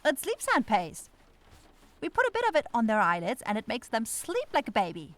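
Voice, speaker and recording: A woman's voice: a little high, wildly swooping pitch, moderate pace, a bit bright. A woman playing a flustered, scatterbrained but caring young forest witch who dithers and searches for words in a fantasy roleplay audio drama. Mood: pleased, explaining.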